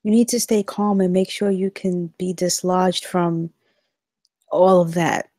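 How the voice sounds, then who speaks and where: Female flight attendant in a crisis, read by an actress: lowish mellow voice, close dry sound, steadying and earnest. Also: fairly even intonation, unhurried, dry recording, close to an everyday voice. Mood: resistant, anxious. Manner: steadying, earnest, quietly persistent. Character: shaken but caring young female flight attendant. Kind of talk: acted table read of a film script.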